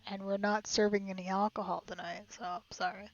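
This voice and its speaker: A woman's voice: medium pitch, flat delivery, a little fast, muffled recording. Casual, wry woman streaming a game. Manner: wry asides, playful. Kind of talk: casual live game playthrough commentary. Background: faint game music.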